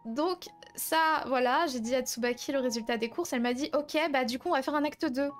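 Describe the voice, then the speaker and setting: A high-pitched young woman acted by a roleplayer in an online game story. She confides hesitantly and casually, as an anxious friend caught up in love troubles. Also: a little fast, soft background music, lightly acted. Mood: worried, confiding. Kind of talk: improvised roleplay dialogue, casual chat.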